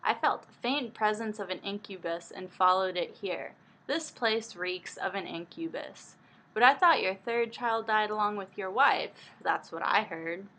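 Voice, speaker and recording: A woman's voice: mid-range voice, a little slow, pushed and bright. A woman voicing a demanding, amorous and suspicious young nobleman in a dramatic book reading. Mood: suspicious, accusing. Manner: bold, demanding.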